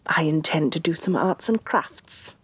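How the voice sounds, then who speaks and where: A woman playing a haughty, domineering evil sorceress queen, soft-spoken and imperious in a fantasy ASMR roleplay. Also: low voice, measured pace, slight background noise. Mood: exasperated, commanding. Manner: imperious, condescending, theatrically disdainful.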